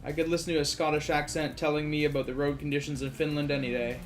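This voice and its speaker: Quebec guy co-commentating a game, teasing n sarcastic, slightly high voice, faint music. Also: level tone, quick pace. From Quebec, Canada. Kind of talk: casual joking chat over gameplay.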